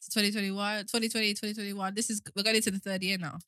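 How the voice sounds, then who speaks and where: A woman's voice: mid-range voice, level tone, very fast, sharp tone, no echo, clean studio sound. A woman in her twenties co-hosting a podcast, chatting informally and explaining things in a conversational way. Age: 20s. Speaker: podcast co-host. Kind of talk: casual group chat.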